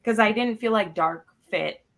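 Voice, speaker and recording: A woman chatting casually about books as a panelist, animated and informal, acting out her own reactions. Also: medium pitch, measured pace, creaky. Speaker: book club panelist.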